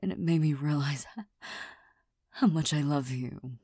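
A prickly young girlfriend who hides her tenderness, as a woman plays her in an audio roleplay. Her voice is low and very husky, and she half-whispers slowly with little stammers and shy laughs. The sound is dry and close, with no room around it. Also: dark tone, dry recording. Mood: vulnerable, defensive. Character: proud, touchy young girlfriend hiding tenderness. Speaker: voice actor in a listener roleplay.

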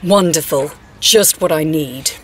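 A woman playing a prickly, dry older retired schoolteacher in an audio drama, with a husky voice. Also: sits low, measured pace. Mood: irritated, dismissive. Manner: dry, sardonic.

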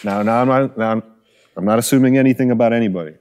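A man with a deep voice, a musician guest on a podcast, talking in a relaxed, joking way and swearing often. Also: rapid-fire. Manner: relaxed, profane, jokey. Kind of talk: casual interview chat.

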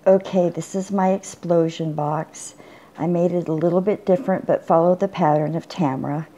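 An older woman showing off a handmade craft project in an unhurried, plain way, with small pauses and restarts. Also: on the low side, fairly even intonation, warm tone, highs cut off. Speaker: crafter showing her work. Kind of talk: casual show-and-tell. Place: indoors at a table, bedroom. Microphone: phone camera filming from above.

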